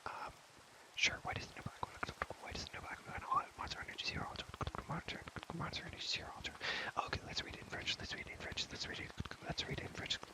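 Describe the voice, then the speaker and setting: A man's voice: fast talker, low-quality recording. A young man whispering breathily close to the microphone in an ASMR style, repeating words and phrases over and over as he rambles playfully. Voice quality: breathy, mostly unvoiced whisper. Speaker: ASMR creator and host.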